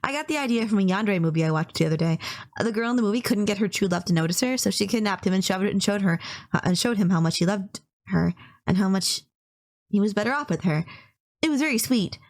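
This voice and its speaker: A sweet young woman played by a voice actress speaks in a medium-pitched voice, bashful and unfailingly courteous. She is a lovesick yandere from an audio roleplay, rambling earnestly and tripping over small stammers as if every menacing idea were perfectly good manners. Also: animated, fast talker, close to an everyday voice. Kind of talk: first-person romantic audio roleplay, speaking to listener.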